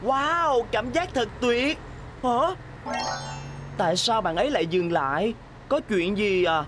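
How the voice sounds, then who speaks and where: Cheerful boy bus, bright and kind, a bit high, music under it. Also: expressive, slow. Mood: delighted. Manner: bright and kind, big-brotherly. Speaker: cartoon character. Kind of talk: children's cartoon dubbing. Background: cartoon music.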